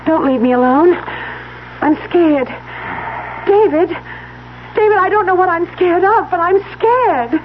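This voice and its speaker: A woman's voice: very high-pitched, animated, measured pace, long pauses. An actress playing a frightened, pleading young woman with urgent delivery in a radio drama. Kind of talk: 1970s American radio drama acting. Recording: muffled, noisy old radio recording.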